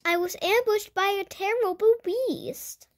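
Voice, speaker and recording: A woman reading a picture book aloud to children in an animated storytelling style. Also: very high-pitched, a little slow. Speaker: children's storybook reader and host. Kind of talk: animated read-aloud narration for kids.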